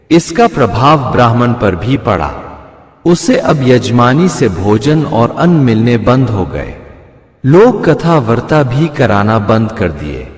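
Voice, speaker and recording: A man telling a scripted moral story as a narrator, in a measured, expressive storytelling manner. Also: mid-range voice. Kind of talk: scripted narration of a Hindi moral folk tale. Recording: echoey with long reverb.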